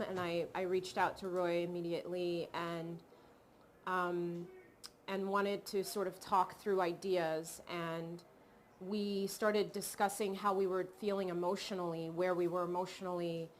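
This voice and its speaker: A woman from Cameroon, a film director, talking reflectively in an interview with many pauses and restarts. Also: on the low side, little pitch movement, a little hiss or hum. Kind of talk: video call interview.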